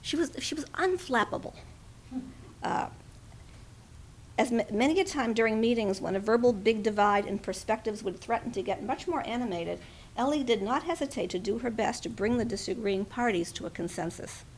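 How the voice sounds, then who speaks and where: A woman's voice: fairly high pitch, expressive, normal speed, poor audio. Woman reading a memorial tribute, faint background noise. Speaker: speaker giving a memorial tribute. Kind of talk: reading a tribute aloud with personal asides at a memorial.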